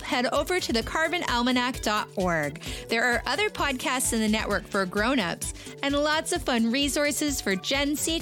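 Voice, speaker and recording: A woman's voice: sits high, fairly bright tone. A woman reading a scripted host's outro for a children's podcast.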